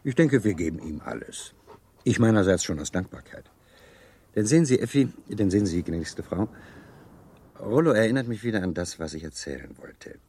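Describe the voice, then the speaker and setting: Suave, gallant middle-aged major. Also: male voice, on the low side, animated, moderate pace, dark tone, breathy edge. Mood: gallant, insinuating. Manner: gallant, urbane, insinuating. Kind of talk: German literary radio drama acting.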